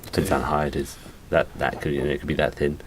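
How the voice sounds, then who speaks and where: A man's voice: extremely low pitch, little pitch movement, fast talker, slight background noise. A man co-hosting a podcast, chatting casually as he explains and debates things. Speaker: podcast co-host.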